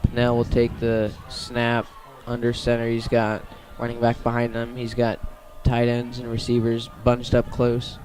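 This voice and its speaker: Male American football commentator, very flat intonation, mid-pitched, halting and self-correcting as he calls live plays. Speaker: play-by-play commentator. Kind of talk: live radio football play-by-play.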